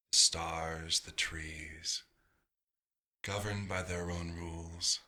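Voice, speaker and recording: A very deep, husky centuries-old vampire doctor acted by a man, musing in a soft ASMR monologue. He speaks very slowly with halting pauses. His tone is wistful and dryly fatherly, held in a narrow, even line. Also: full character voice. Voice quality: husky, breathy.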